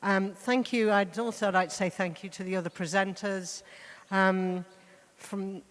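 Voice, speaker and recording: A woman's voice: medium pitch, steady tone, a little slow. A woman giving an informal, conversational presentation as a panel speaker, wandering off into asides. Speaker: panel speaker, art biennale co-director. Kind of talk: informal spoken presentation to an audience. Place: large reverberant hall, on a stage. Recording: echoey hall with long reverb.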